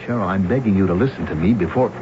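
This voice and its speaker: An actor playing a desperate, urgent husband in money trouble in a 1970s radio drama. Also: male voice, sits low, very fast, natural voice. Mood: desperate pleading. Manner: urgent, insistent. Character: desperate husband in financial trouble, menacing and pleading. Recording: dull, noisy vintage radio broadcast.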